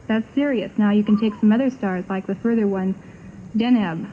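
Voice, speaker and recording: Halting woman guest, tinny old radio. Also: medium pitch. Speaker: program guest explaining astronomy. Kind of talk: interview explanation. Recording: phone-like, faintly noisy 1960s radio recording.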